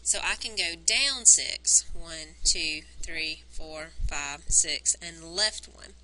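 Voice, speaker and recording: A woman teacher recording a math lesson on a wired mic, explaining each step and counting points aloud, her voice worn and going hoarse. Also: sits low, takes it slowly, pushed and bright, a little hiss or hum, low-quality recording. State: losing her voice. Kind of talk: recorded math lesson, working through graphs. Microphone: wired microphone on a cord.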